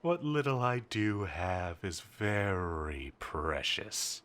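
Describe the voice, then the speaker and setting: A man's voice: deep voice, lively intonation, slow pace, a bit bright, heavily acted. A man playing a smug, menacing villain boss in an audio roleplay, with a theatrical, mocking, condescending delivery. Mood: mocking, cold. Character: smug, menacing male supervillain boss toying with his enemy.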